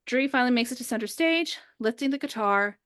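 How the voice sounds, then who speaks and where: A woman's voice: fairly high pitch, lively intonation, fairly quick, fairly bright tone. A woman hosting a podcast, chatting casually with friends and reading out a script she wrote. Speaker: podcast host, writer and script reader. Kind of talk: casual chat with friends before a script read-through.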